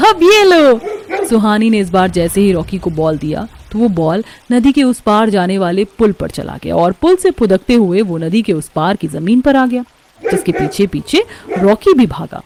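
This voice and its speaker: A woman's voice: medium pitch, animated, normal speed, noisy background. A woman reading a scripted horror story as its narrator.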